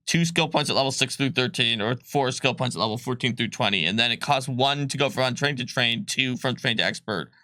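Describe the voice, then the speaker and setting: Bright-voiced man explaining game rules. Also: medium pitch, fairly quick, hardly any pauses, no room sound. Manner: relaxed, chatty, with many fillers and laughs. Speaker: game designer presenting a rulebook on a live stream. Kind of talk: casual explanatory stream chat.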